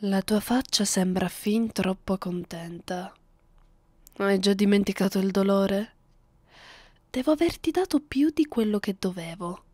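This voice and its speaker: A woman's voice: medium pitch, unhurried, dark tone, no room sound, close to an everyday voice. A woman playing a cruel, seductive vampire mistress in an ASMR roleplay, speaking commandingly and often close to a whisper. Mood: irritated, scolding. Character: domineering, cruel, seductive vampire mistress addressing her human captive.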